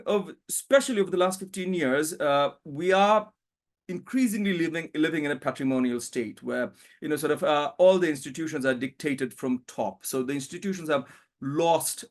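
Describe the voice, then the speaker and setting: A man from Bangladesh, giving a conference presentation over a video call in a thoughtful way, often pausing with fillers. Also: a little high. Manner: thoughtful, hedging with frequent fillers. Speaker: panel speaker, academic.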